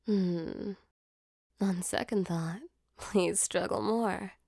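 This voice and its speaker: A woman voicing a female sleep paralysis demon in an intimate ASMR roleplay, with a soft, close delivery. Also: medium pitch, steady tone, drawn-out words, creaky, no echo, exaggerated voice. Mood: taunting, menacing. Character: female sleep paralysis demon, mock-menacing turned tender and flustered.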